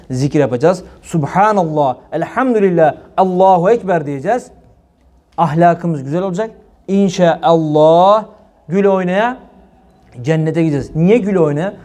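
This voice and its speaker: Male preacher, middle-aged, high-pitched. Informal, exhorting sermon full of emphatic rhetorical questions. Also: darkish tone. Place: small indoor room at a preaching desk.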